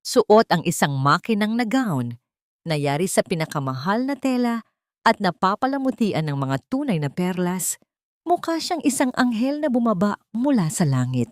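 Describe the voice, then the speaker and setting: A woman narrating a scripted dramatic story in a measured, theatrical storytelling manner. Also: medium pitch, big pitch swings, dry recording, studio quality.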